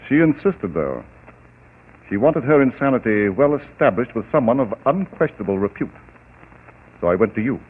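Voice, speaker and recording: Actor playing a suave villainous doctor. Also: male voice, mid-range voice, natural voice. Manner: suave, genteel, coldly polite. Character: scheming fake doctor, smooth then menacing villain. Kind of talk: 1940s radio acting. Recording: tinny old radio broadcast.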